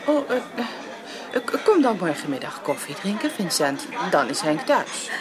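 An actress playing a genteel, sociable society hostess with an exclamatory manner in a Dutch period radio drama. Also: female voice, lowish voice, big pitch swings, moderate pace. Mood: polite. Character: sociable society hostess making polite introductions. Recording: crowd chatter of theatre foyer.

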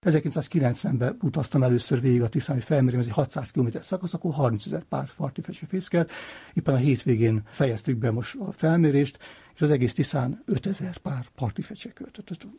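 A man with a husky, rough voice, speaking earnestly and unscripted as an interviewed bird conservationist. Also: medium pitch, lively intonation, mellow, no room sound. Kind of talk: unscripted interview about field work.

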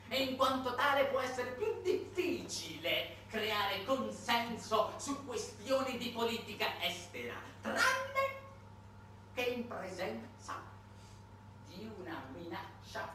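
A man voicing a pompous strategist who declaims imperial policy slowly and emphatically in a solemn tone. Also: extremely high pitch, voice pushed up, exaggerated voice. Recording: echoey distant stage recording, noisy.

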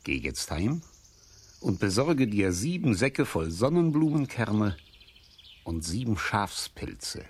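Slow, husky, solemn old wise man. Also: fairly low pitch, full character voice. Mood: solemn, instructing. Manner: slow, deliberate, expressive, solemn. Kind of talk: children's radio play acting.

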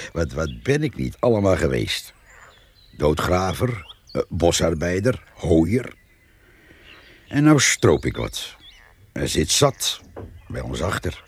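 A folksy old countryman in a radio play, musing to himself. His voice is low and very husky, and he rambles slowly with hesitations and chuckles. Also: darkish tone, exaggerated voice. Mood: chuckling, reminiscing. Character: folksy old rural jack-of-all-trades and poacher, chuckling. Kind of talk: radio drama monologue.

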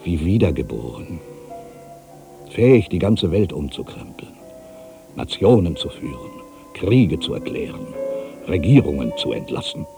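Very deep-voiced, world-weary aging male writer. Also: sing-song, unhurried, gravelly, pauses often, music in the background, heavily acted. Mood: exalted. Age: older. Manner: theatrical, introspective, swinging between hushed and emphatic delivery. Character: aging male writer, world-weary, anxious, brooding, given to grandiose fancies. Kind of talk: literary dramatic monologue.

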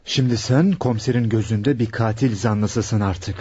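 An actor playing an assertive, impatient lawyer and protective fiancé in a radio play, with an argumentative, insistent manner. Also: male voice, fairly low pitch, measured pace, mellow, breathy edge. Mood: exasperated. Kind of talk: radio theatre acting.

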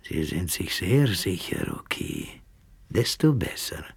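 Husky, sly Russian spy. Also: male voice, low voice, sing-song, takes it slowly, dry recording, heavily acted. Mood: scheming. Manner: evasive, dismissive, cunning. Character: fat Russian newspaper correspondent and spy, sly and scheming. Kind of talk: German radio drama acting.

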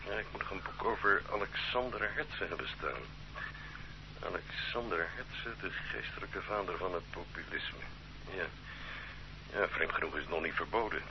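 A man's voice: low-pitched, moderate pace. An actor playing a cautious, hesitant male bookseller in an older radio play. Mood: confiding. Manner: hesitant, confiding. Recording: dull old radio recording, faint hum.

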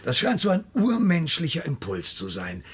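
An actor playing a menacing, pedantic and self-pitying debt collector in a radio crime drama, lecturing in a deliberate, pompous way. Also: male voice, on the high side, wildly swooping pitch, fairly bright tone, husky, full character voice. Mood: coldly menacing. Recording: music behind the voice.

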